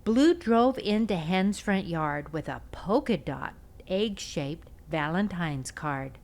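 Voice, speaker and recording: Slow, very animated woman reading to children. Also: fairly low pitch. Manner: expressive, simple storytelling delivery for small children. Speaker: host of a children's read-aloud channel. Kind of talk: picture-book read-aloud for young children.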